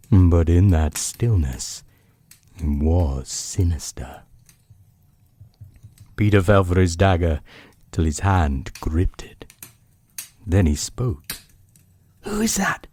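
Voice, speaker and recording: Deep, slow-speaking male bedtime storyteller. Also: dark tone, husky, dry recording. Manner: dramatic pauses, savoured phrasing. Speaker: podcast host and storyteller. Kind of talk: scripted narration, bedtime storytelling.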